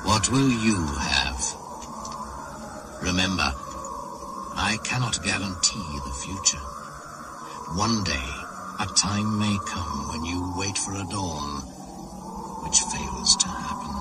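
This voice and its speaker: A grave ancient shaman. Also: lively intonation. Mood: solemn, ominous. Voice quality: deep, slow, grave and intoning. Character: ancient male shaman, Father Christmas, solemn and ominous. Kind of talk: 1980s radio drama acting. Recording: music bed behind the voice.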